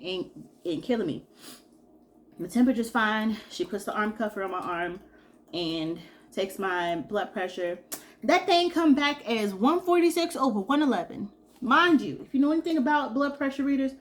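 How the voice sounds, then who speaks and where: A sick, congested young woman from Georgia chatting casually in a vlog, telling a story in an animated way and acting out what people said. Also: mid-range voice, slight background noise. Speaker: vlogger.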